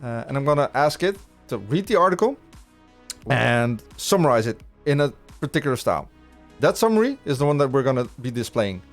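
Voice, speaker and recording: A Dutch man, speaking English as a second language, talks loosely to his stream viewers at an ordinary middle pitch, stumbling over restarts and repeating words as he thinks aloud. Faint music runs underneath his close, clean podcast-mic sound. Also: big pitch swings, a little fast. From Netherlands. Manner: rambling, many restarts, chatting with viewers. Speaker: livestream coding host. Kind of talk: casual live-coding stream chat. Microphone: studio podcast mic.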